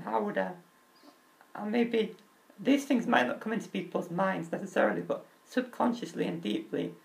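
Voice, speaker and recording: A young man with a crackly, high-pitched voice, talking openly and earnestly about himself, with halting restarts. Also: creaky. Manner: open and earnest, halting restarts, nervous laughs. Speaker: vlogger. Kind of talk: candid personal talk.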